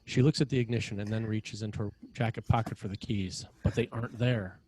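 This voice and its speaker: Male narrator, slightly low pitch, scripted screenplay stage directions. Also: fairly even intonation, a little fast, a little mellow, dry recording.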